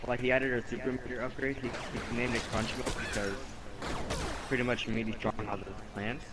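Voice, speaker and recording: A man telling an improvised story casually during a game, rambling and halting as he corrects himself. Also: mid-range voice, little pitch movement, very noisy.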